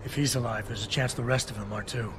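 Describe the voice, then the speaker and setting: An actor playing a stoic, gruff augmented security agent in a video game, terse and deadpan. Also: male voice, mid-range voice, quick pace, background noise, lightly acted. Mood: guarded, dry. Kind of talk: video game cutscene acting.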